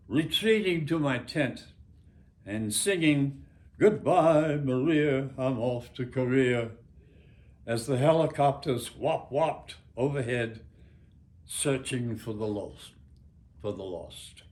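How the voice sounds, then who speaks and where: Slow-spoken American man in his nineties. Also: medium pitch, a little mellow. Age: 90s. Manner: measured, deliberate, dramatic phrasing with pauses. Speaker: author. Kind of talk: reading own memoir aloud, literary narration.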